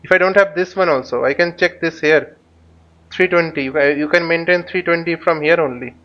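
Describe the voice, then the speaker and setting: A man teaching a flight simulator tutorial, speaking haltingly and often repeating words as he explains. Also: fairly high pitch, sharp tone. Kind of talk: spoken tutorial while flying a simulator. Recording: dull lo-fi microphone sound.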